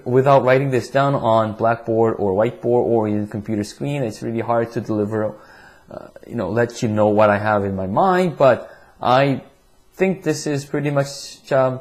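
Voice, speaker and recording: A man whose first language is Korean, giving an online test-prep lecture in English with many restarts and repeated words. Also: mid-range voice.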